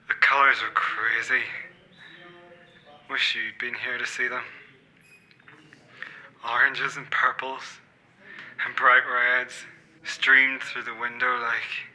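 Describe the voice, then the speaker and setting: A man acting a wistful prisoner in a radio drama. He speaks slowly in a very bright, forceful voice, with little rise and fall, earnest and conversational. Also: medium pitch, rough recording. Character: wistful male prisoner describing life in his cell. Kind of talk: radio play monologue, conversational.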